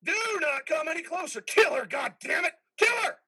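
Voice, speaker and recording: A man's voice: expressive, normal speed, heavily acted. A man in a reader's theater drama playing a stern, ruthless veteran military commander with a commanding delivery. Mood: forceful, furious. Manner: commanding, swings from low growl to shouting.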